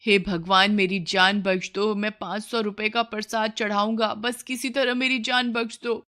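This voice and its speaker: A woman's voice: medium pitch, flat delivery, moderate pace, sharp tone, close to an everyday voice. A woman voicing a frightened village man in a moral story, pleading and exclaiming as he bargains. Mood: fearful pleading.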